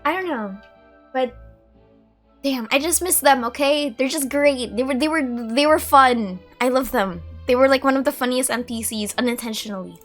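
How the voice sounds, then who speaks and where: High-voiced chatty woman streamer. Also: normal speed, fairly bright tone. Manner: chatty, rambling, self-correcting. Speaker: gaming streamer. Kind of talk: casual let's play commentary. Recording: music playing behind.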